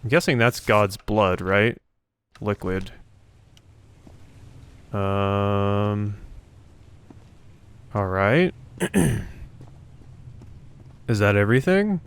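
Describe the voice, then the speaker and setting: A man's voice: fairly low pitch, very slow, pauses often, fairly bright tone, clear, resonant tone. A man giving casual gameplay commentary, thinking aloud and questioning himself as he goes. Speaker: let's play host.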